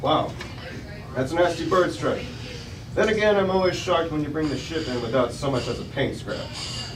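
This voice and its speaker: A man's voice: a little high, moderate pace, close to an everyday voice. A man playing a wry, teasing space station ranger, reading lines from a retro space serial. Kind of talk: 1950s space serial dialogue, read aloud. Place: busy restaurant table read. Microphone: distant camera microphone. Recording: echoey noisy restaurant, far from camera.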